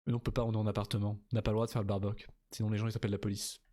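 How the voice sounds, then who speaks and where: A man's voice: fairly low pitch, flat delivery, mellow. Tired, rambling young man streaming a game. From Normandy, France. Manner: rambling, chatty, reads chat aloud, reads game text haltingly. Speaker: let's play streamer. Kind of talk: casual chat while streaming a game.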